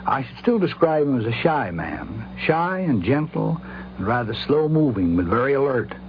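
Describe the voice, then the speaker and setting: A man's voice: medium pitch, sing-song, normal speed. A man giving a formal spoken recollection for radio. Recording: muffled, noisy 1950s radio recording.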